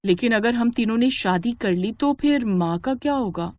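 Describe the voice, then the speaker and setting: A woman's voice: fairly high pitch, expressive, moderate pace, darkish tone, no echo, close to an everyday voice. A woman voicing callous, selfish, scheming young daughters in a moral story cartoon, with a dismissive, scornful manner. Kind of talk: animated moral story dialogue.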